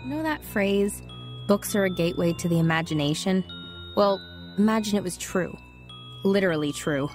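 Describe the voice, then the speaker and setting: A woman's voice: medium pitch, expressive, moderate pace, darkish tone, soft background music, close to an everyday voice. A woman voicing a wayward teenage girl who narrates and talks to an old man, hesitant and apologetic in scenes, in an audio drama.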